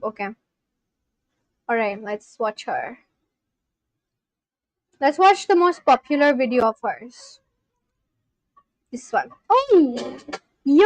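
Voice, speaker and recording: A woman's voice: sits high, no echo, low-quality recording. A woman from India, chatting casually on a live stream at home and answering her chat aloud. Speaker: language-learning livestreamer. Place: at home at a laptop.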